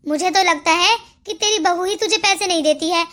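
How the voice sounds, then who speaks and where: Casting: woman as meddling older gossip for an animated family tale, insinuating, extremely high pitched and bright. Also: brisk. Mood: gossipy, stirring. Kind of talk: Hindi cartoon moral-story acting.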